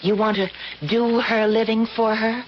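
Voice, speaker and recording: A woman's voice: a little high, little pitch movement, unhurried, lightly acted. An actress playing an earnest, stubborn woman in a radio drama, plaintive and insistent, on a muffled, noisy old recording. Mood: pleading.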